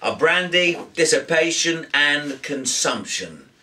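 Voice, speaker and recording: Storybook role: wry, talkative twenty-something eccentric played by a male reader, lifted pitch, a bit off-mic. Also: slow, distant mic, exaggerated voice. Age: young adult. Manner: animated, musing, chatty. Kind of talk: audiobook character voice.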